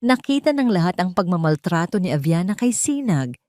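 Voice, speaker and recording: Woman narrating a dramatic story, lowish voice, close and dry. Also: very animated, moderate pace, a little mellow, dry recording. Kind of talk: dramatic first-person scripted narration.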